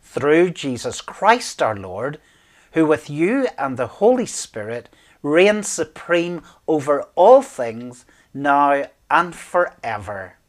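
A man's voice: fairly high pitch, very animated, slow pace. A middle-aged man, a church minister, informally leading an online service. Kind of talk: informal service introduction and prayer. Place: small indoor office, seated at desk.

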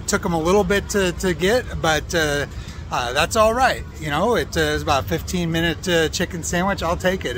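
Higher-voiced American man, casual outdoor vlog. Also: a bit bright. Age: middle-aged. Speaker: food review vlogger. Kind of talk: casual food review to camera. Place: outdoors at a fairground. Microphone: phone at arm's length. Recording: outdoor phone recording with ambient fairground noise.

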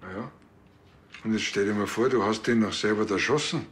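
A man's voice: lowish voice, brisk, a little hiss or hum, natural voice. An actor playing an easygoing, chatty village policeman with a Bavarian accent in a TV crime comedy. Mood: musing, chatty. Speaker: policeman character. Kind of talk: naturalistic TV crime comedy acting.

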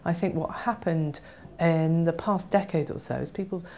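Low, mellow woman, middle-aged. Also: moderate pace, a little hiss or hum. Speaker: law firm representative. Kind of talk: interview answer.

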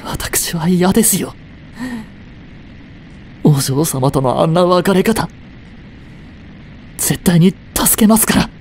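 A man voicing a devoted, protective young butler in a romantic voice drama, frantic while searching a fire and soft and tender at a bedside. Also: high-pitched, animated, takes it slowly, long pauses, slight background noise. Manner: soft, gentle and intimate in the bedside scene.

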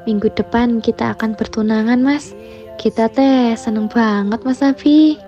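Sweet young fiancée in a cartoon voiced by a woman, mellow, kinda muffled. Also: a little high, fairly even intonation, a little slow, soft background music.

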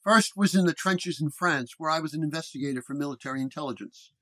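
A man playing a terse, self-assured 1930s paranormal detective in an audio story, speaking flatly and deliberately. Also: fairly high pitch, natural voice. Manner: flat, deliberate.

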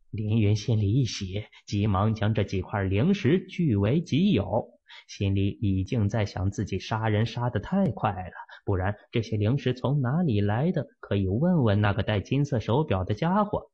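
A man narrating a fantasy novel as an audiobook, in a steady, measured storytelling manner, in a muffled lo-fi recording. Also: lowish voice, husky.

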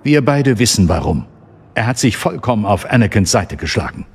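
Stern low-voiced Jedi master. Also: male voice, big pitch swings, moderate pace, vocal fry, breathy edge, heavily acted. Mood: stern, resolute. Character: serious, resolute male Jedi master in council. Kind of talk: radio drama acting.

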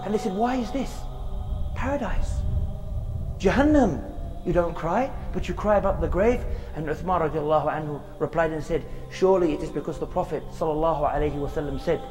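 Earnest high-voiced man preaching outdoors. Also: a little fast, a little mellow, long reverb tail, faint music behind, rough recording. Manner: earnest, pleading rhetorical questions. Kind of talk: outdoor religious reminder to camera. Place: outdoors in a graveyard. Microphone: lapel mic.

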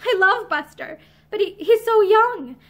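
A woman voicing an overwrought, unhappy wife and mother in a storybook, pleading in a feverish, excited way. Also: extremely high pitch, measured pace, voice pushed up. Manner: feverish, excited, breaking off mid-phrase.

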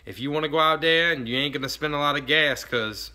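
A man's voice: fairly high pitch, quick pace, bright, forceful tone. A young man, talking casually to a phone camera at arm's length in a fishing vlog. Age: young adult. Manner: laid-back, drawling, loose grammar. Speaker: fishing vlogger. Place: in a boat on open water, then an indoor garage. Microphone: phone camera held at arm's length.

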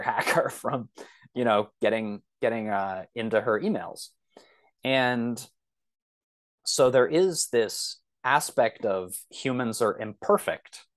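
Male podcast guest, thirties, mid-pitched, conversational explainer who hedges and backtracks. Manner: rambling, self-correcting, hedging.

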